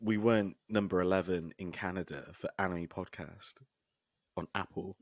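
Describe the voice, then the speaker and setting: A man with a hoarse, husky voice co-hosting a casual podcast and laughing often between remarks. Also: sits low, fairly even intonation, moderate pace. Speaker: podcast co-host. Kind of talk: casual chat.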